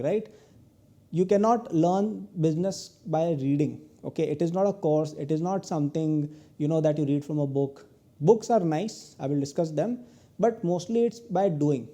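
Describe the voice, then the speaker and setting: A man's voice: a little high, mellow. A man in his twenties with an Indian English accent, giving a recorded online lecture as a guest entrepreneur, who ends many of his points with "okay?". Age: 20s. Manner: earnest advice, tags many lines with "okay?".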